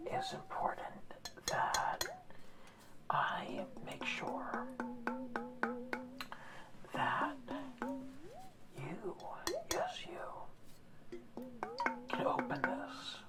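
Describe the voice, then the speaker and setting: A woman voicing an old, kindly male orca guardian in an ASMR roleplay, speaking in a soft, slow, reassuring whisper. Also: high-pitched, huge pitch swings, exaggerated voice. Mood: gentle whisper.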